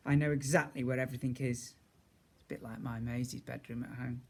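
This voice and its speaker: Expressive man reading a children's storybook. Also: on the high side. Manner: expressive, chatty asides to young listeners. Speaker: teacher reading to young children.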